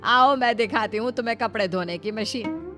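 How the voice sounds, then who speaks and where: A woman's voice: fairly high pitch, expressive, normal speed, fairly bright tone, soft background music. A woman voicing a kindly, motherly mother-in-law in a cartoon story, patient though easily astonished. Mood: helpful. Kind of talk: Hindi cartoon storybook dialogue.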